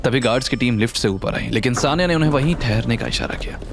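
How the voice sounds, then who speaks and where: A man's voice: mid-range voice, fast talker, creaky, noisy background. A man narrating a scripted audio story in a dramatic style.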